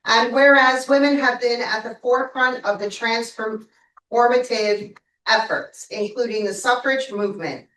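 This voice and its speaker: A woman's voice: on the high side, a little slow, fairly bright tone. A councilwoman reading a formal proclamation aloud at a council meeting, sometimes stumbling over words and her mic switched off. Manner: stumbles and apologizes mid-reading. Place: council chamber. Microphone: mic not switched on, picked up from a distance. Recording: echoey room from far off.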